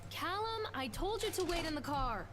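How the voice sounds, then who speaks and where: An actress voicing a worried mother searching an amusement park, speaking in a measured, reflective way as game narrator. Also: very high-pitched, sing-song, a bit bright, full character voice. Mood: anxious. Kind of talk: scripted game voice acting.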